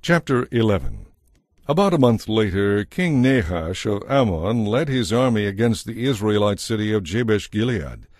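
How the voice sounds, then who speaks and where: A man's voice: lowish voice, very animated, moderate pace, a little mellow, dry recording, studio quality. A man narrating a dramatized audio Bible as a scripted story.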